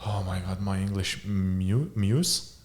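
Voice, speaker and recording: A man's voice: deep voice, slow pace. A man from Lithuania with a Lithuanian accent, speaking English as a second language, who hosts a casual podcast interview and sometimes searches for words and jokes along. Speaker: podcast host.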